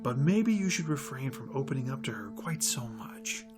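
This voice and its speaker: A fussy, nervous aide played by a man with a medium-pitched voice. He is polite, cautious and hesitant, in an audio drama with clear music beneath. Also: big pitch swings, moderate pace, lightly acted. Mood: anxious, cautioning.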